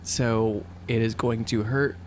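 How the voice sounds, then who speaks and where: A man playing a tabletop game, thinking aloud in halting, casual in-character discussion. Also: fairly low pitch, steady tone. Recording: faint hum, slight background noise.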